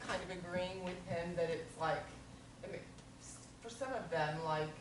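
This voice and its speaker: A woman with a fairly low voice chats animatedly through her feedback. She is heard from afar in an echoey room, with other people audible around her. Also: moderate pace, background noise. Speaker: audience member giving feedback. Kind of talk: casual discussion. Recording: echoey room from far off, crowd.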